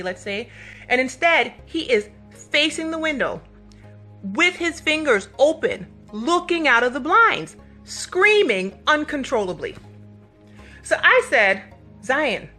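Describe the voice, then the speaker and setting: A woman with a bright, forceful voice pitched a little high speaks over music. She tells personal stories in an emphatic way, acting out dialogue and posing rhetorical questions as she offers faith encouragement. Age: adult parent of a young child. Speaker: vlogger giving a motivational faith talk. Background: background music.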